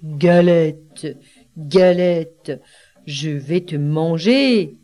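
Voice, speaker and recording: A hungry storybook wolf as a woman puts him on, dropped low in pitch. He draws out his words slowly and menacingly. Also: warm tone, soft background music. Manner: slow, drawn-out threats.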